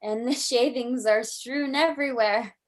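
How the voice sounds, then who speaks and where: A woman's voice: on the high side, a little slow, close to an everyday voice. An actress playing a curious young woman engaged to be married, eager and teasing, in an old-time radio drama. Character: young bride-to-be daughter, curious, spirited.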